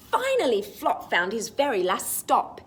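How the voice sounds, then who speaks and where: A woman storytime teacher reading a picture book aloud to young children, expressive and sing-song, with chatty asides. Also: on the high side, a little hiss or hum.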